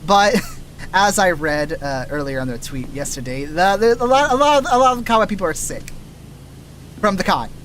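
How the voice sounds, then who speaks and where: Slightly raspy man rambling while gaming. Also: sits high, lively intonation, normal speed, a bit bright, a little hiss or hum, low-quality recording. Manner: chatty, loose, trailing restarts and asides. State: tired voice from lots of voice work. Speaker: VTuber streamer.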